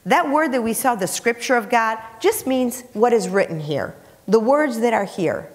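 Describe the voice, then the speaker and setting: A woman's voice: a little high, lively intonation, moderate pace. A middle-aged woman preaching a sermon in an emphatic, conversational way, repeating phrases and asking rhetorical questions. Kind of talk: sermon, teaching scripture to a congregation. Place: church sanctuary, indoors, on the platform. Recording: reverberant hall, picked up in large room.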